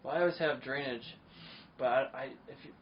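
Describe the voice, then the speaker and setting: A man's voice: mid-range voice, a little fast. A man hosting a podcast, talking in a rambling, enthusiastic casual way. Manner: rambling, enthusiastic, many fillers. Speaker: podcast host. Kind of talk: casual chat. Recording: dull lo-fi sound with faint noise.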